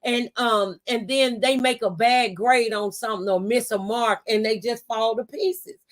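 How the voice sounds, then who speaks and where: A woman's voice: a little high, fairly even intonation, a little slow. A woman hosting a faith-based motivational conversation, testifying with rhetorical questions and repeated phrases. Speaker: talk show host and mentor.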